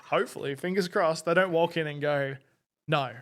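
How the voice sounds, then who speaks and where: A male podcast host, talking in a loose, chatty way. He laughs a lot and drifts into rambling asides. His voice sits a little high for a man. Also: normal speed, fairly bright tone. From Australia. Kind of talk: casual chat.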